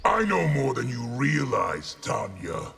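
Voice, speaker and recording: An actor playing an arrogant, menacing rebel warlord in a fighting game, with an imperious, forceful delivery. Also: male voice, medium pitch, huge pitch swings, takes it slowly, gravelly, over loud music, heavily acted. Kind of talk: video game fight intro dialogue. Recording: loud noise behind game dialogue.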